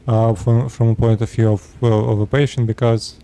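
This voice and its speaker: A 36-year-old man from Russia with a Russian accent, speaking halting second-language English as he talks casually to his viewers. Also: mid-range voice, little pitch movement, warm tone, clean tone. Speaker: vlogger. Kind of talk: casual personal talk to camera.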